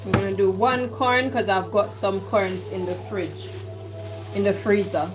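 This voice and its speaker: A woman's voice: mid-range voice, fairly even intonation, measured pace, over music. A woman with a Jamaican accent, casually talking through her cooking steps in a home kitchen. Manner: chatty, talks herself through each step. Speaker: home cook vlogger. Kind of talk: casual cooking vlog talk. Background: clatter of dishes and cutlery.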